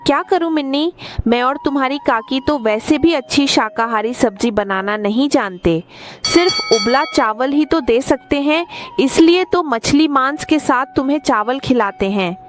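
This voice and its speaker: A woman's voice: high-pitched, animated, normal speed. A woman voicing a fretful, dutiful village mother who explains things in a cartoon story. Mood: coaxing. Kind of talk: Hindi cartoon story dubbing.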